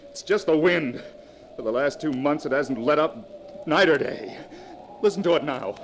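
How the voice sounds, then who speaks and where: Jumpy, tormented husband, noisy recording. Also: male voice, a little high, animated, normal speed. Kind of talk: 1940s radio acting. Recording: noisy vintage 1940s radio recording.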